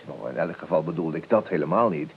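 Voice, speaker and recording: An actor playing a grumbling, hungover, self-pitying gentleman in a Dutch radio play, halting and fussy, with lyrical flights. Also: extremely low pitch, expressive, creaky, heavily acted. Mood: lyrical, peevish. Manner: halting, fussy, theatrically weary. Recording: narrow, hissy old radio recording.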